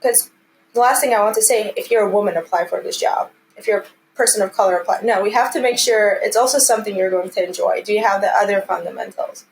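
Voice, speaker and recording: A woman from Armenia talks as an interview guest in a conversational, earnest way. She sounds encouraging, as if urging people on, and her voice sits at a medium pitch. There is some light noise in the recording. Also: fast, poor audio. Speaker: interview guest, commercial real estate team lead.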